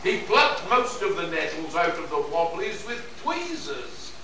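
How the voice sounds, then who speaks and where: A man's voice: on the high side, sing-song, a little slow, pushed and bright, heavily acted. A man playing a cocky space detective in a pulp radio play, laying out his case in a theatrical, expository way. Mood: confident. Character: cocky male space detective explaining a murder. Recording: echoey hall, distant mic, faint noise.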